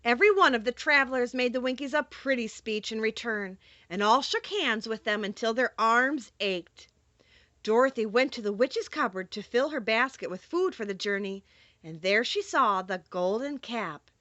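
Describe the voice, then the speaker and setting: Woman narrator, lively swooping intonation, bright tone, theatrical storybook read-aloud, dry close sound. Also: a little high, moderate pace, no echo, lo-fi sound. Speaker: audiobook narrator. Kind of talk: expressive scripted storybook narration.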